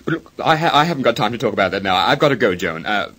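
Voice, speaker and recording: A man's voice: on the low side, big pitch swings, rapid-fire, bright, forceful tone, breathy edge, full character voice. An actor playing an awkward, earnest young man in an old radio soap, hesitant and boyish, with a put-on American accent. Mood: bashful. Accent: American, put on by an Australian actor. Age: young adult. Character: awkward, earnest young law graduate and amateur composer.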